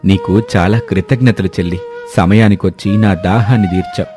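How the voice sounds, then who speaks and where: A man's voice: low voice, fairly even intonation, brisk, music in the background, close to an everyday voice. A man playing a polite, generous wealthy businessman who speaks courteously and reassuringly in a story. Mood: grateful.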